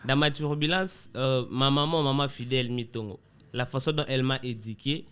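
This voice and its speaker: A man with a Congolese French accent, a comedian answering interview questions in a relaxed, loose way, mixing in Lingala phrases. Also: medium pitch, normal speed, smooth. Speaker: comedian guest.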